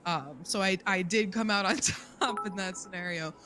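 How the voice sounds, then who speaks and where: An impassioned woman hosts a casual panel on a stream, piling up fillers and restarts as she pushes her point. Her voice sits at a middling pitch and carries over a home streaming mic. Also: normal speed, noisy background. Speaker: panel host.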